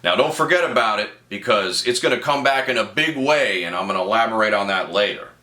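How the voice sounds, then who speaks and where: A man's voice: fairly low pitch, expressive, fast talker. A man explaining a song's chords and harmonies as a guitar teacher, breaking in with playful, wry asides. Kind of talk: guitar tutorial with music analysis. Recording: echoey room with loud music. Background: loud guitar and band music.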